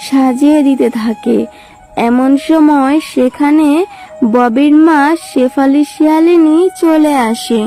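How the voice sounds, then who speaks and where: A woman's voice: high voice, takes it slowly, warm tone. A woman reading scripted storytelling narration for a cartoon, with music behind her.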